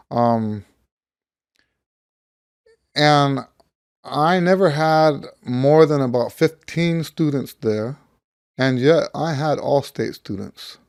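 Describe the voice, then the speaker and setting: A middle-aged American man, slightly hoarse as he gets over losing his voice, talking casually and ramblingly like a teacher sharing experience. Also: medium pitch, darkish tone, dry recording, studio quality. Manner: rambling, conversational, thinking aloud. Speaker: trumpet teacher. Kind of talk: casual talk to camera, personal anecdote.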